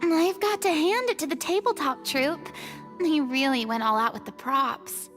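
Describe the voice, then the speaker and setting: Woman playing a high-voiced, thoughtful adventurer. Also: lightly acted. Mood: musing. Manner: musing, unhurried. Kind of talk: video game character acting. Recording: music behind the voice.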